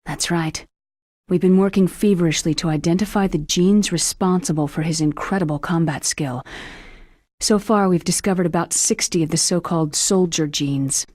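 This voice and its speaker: A woman voicing a composed, clinical scientist in a video game, explaining calmly and precisely. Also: fairly low pitch, moderate pace, no room sound, clean studio sound, natural voice.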